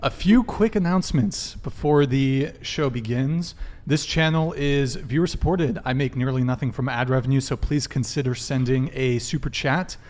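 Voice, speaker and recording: A man's voice: medium pitch, normal speed, hardly any pauses, darkish tone. A man hosting a live talk podcast, casually addressing his viewers. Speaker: podcast host.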